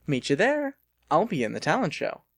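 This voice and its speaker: A man acts out a gleeful woman garage boss for a storybook. The pitch is pushed up and leaps about freely. The delivery is bossy, teasing and full of exuberance, recorded close and dry. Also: huge pitch swings, normal speed, no echo, full character voice. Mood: excited. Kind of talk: dramatic audiobook character reading.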